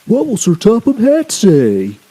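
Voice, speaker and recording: Man voicing a fretful high-pitched cartoon steam engine. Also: wildly swooping pitch, unhurried, warm tone, breathy edge, heavily acted. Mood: dismayed. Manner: anxious, exclaiming. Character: fretful, cross young male steam engine.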